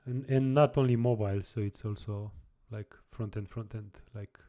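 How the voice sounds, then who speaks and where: Brief: young male developer, mellow low voice, halting relaxed chat with viewers. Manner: halting, many restarts and repeated words. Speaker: live-streaming developer. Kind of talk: casual live-stream chat answering viewers.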